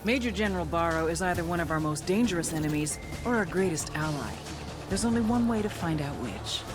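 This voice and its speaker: An actress playing a cool, firm intelligence agent, speaking in a stern, matter-of-fact scripted style. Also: female voice, lowish voice, expressive, brisk, music in the background, natural voice. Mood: businesslike. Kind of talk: scripted briefing and interrogation.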